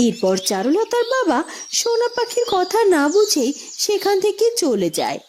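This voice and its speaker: A woman's voice: very high voice, huge pitch swings, moderate pace, a little mellow, slight background noise. A woman reading scripted narration for a cartoon storybook tale.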